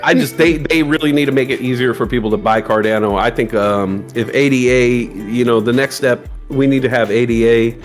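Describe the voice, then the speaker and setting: Man in his forties, casual stream co-host, animated, jumps into put-on voices for quotes, faint music behind. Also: medium pitch, fairly quick, hardly any pauses. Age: 40s. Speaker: livestream co-host. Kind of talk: casual chat.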